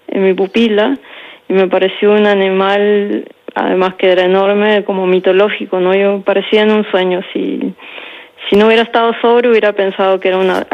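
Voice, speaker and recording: A woman's voice: mid-range voice, level tone, brisk, muffled recording. A woman, a writer answering interview questions in a reflective way, often tagging "¿no?" and repeating words. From Peru.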